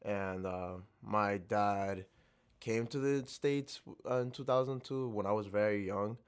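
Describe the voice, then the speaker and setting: A man's voice: fairly low pitch, measured pace, clean tone. A young man from the Dominican Republic answering interview questions reflectively, with many fillers and restarts. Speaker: interview guest.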